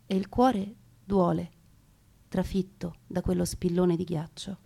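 A woman giving a theatrical, scripted narration of wartime memoirs in a solemn, dramatic manner, with deliberate, emphatic phrasing. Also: on the low side, flat delivery, creaky, dark tone, husky. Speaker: narrator and actress reading memoirs. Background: music.

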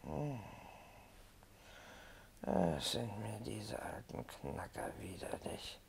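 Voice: deep voice, lively intonation, extremely slow, vocal fry, whispered, exaggerated voice. An actor in a radio drama playing a jaded, irritable lady of the house with a languid, disdainful manner. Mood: bored, scornful.

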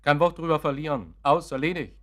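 An actor playing a bitter, cornered young son in a German radio play, curt, sarcastic and impatient. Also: male voice, a little high, fairly quick, fairly bright tone, close to an everyday voice.

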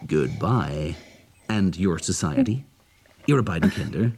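A man's voice: low-pitched, expressive, takes it slowly, a little mellow, husky, full character voice. An actor playing a cynical male corporate spy, mocking in tone and slipping words into his talk. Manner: mocking, mixes English phrases into German. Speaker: industrial spy. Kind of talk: radio drama acting.

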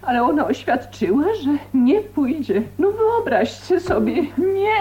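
A plaintive, scandalized mother in a radio play. She speaks slowly and haltingly in a high voice, on a dull recording with a faint hum. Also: sing-song, dark tone. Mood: fretful. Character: fretful, scandalized mother of a grown daughter. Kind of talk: radio drama acting.

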